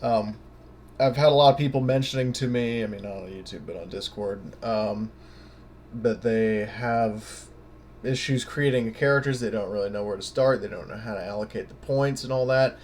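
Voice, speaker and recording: A man giving a casual, unscripted tutorial in a hesitant, halting way, thinking aloud as he goes. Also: fairly low pitch, darkish tone, slight background noise. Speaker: tutorial presenter.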